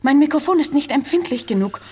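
An actress playing a cool, defiant crime writer under suspicion in a 1970s German radio play, with a husky voice. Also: female voice, sits high, animated, fast talker, a little mellow, a little hiss or hum.

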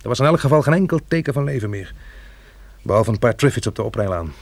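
A man's voice: medium pitch, expressive, fast, gravelly. An actor playing a weary, practical male survivor turned farmer in a radio drama, with a hesitant, musing delivery. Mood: grim resolve. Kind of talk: radio drama acting with diary narration.

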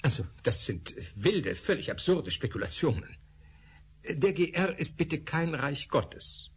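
A man's voice: on the high side, sing-song, measured pace, husky, heavily acted. An actor playing a male scientist who lectures with hesitant fillers in a radio drama. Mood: earnest lecturing. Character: male scientist explaining thought particles.

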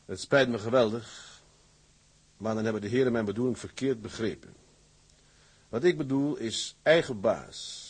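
Blunt, husky sea captain. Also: male voice, mid-range voice, moderate pace, long pauses. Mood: firm. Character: blunt, proud, stubborn male tugboat captain. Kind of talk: Dutch radio drama acting.

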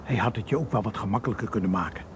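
An actor playing a careful, level-headed Egyptian tour guide in a radio drama, measured and reassuring. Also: male voice, on the low side, natural voice. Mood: concerned. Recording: noisy old radio play recording.

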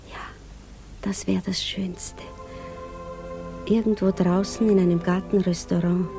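A tender, longing woman in an old radio play. She speaks in a medium-pitched voice, gentle and reflective, with clear music behind her. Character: wistful, tender, longing woman lost in thought. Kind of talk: 1960s German radio drama acting.

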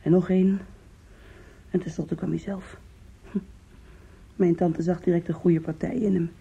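An actress playing an anguished young woman in a Dutch radio play, confiding in her lover with halting, intimate emotion. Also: on the low side, level tone, creaky, warm tone, slightly rough, heavily acted. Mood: confiding, bitter. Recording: dull, muffled old radio recording.

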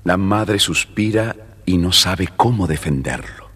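A man's voice: low voice, animated, a little slow, fairly bright tone, slightly rough. A man narrating a scripted children's story as the storyteller. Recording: faintly noisy vintage story record.

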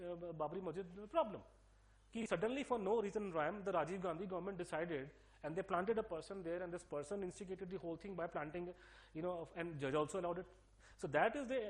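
Middle-aged Delhi-accented man, quick-fire emphatic explainer, higher-pitched voice, lots of restarts. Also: a little mellow. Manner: rapid, emphatic, many fillers and restarts. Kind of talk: interview-style explanation.

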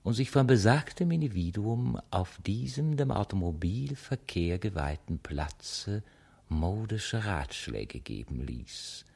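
A pompous narrator for literary radio. His voice is deep and husky, and he declaims florid phrases with great self-importance. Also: very animated, takes it slowly, darkish tone, exaggerated voice. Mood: pompous, grandiose. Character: pompous, florid male narrator with grandiose diction.

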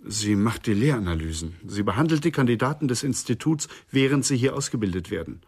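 A man's voice: mid-range voice, lively intonation, a little fast, slightly rough. An actor playing an earnest psychoanalyst in a German radio crime drama, speaking formally and precisely, with reverence for his profession. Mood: earnest, reverent.

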